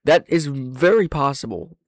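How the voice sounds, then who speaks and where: A man's voice: medium pitch, animated, measured pace, no echo. A man hosting a casual reaction commentary, thinking aloud with plenty of slang and swearing. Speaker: reaction video host.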